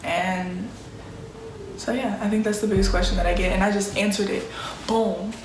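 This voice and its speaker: A woman's voice: mid-range voice, fairly even intonation, moderate pace, noisy background. A twenty-year-old American woman from Chicago chats casually to camera as a vlogger, slangy and often interrupting herself. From Chicago, United States. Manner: informal, self-interrupting, slangy. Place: small plain-walled room indoors. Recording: echoey room with music underneath. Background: music.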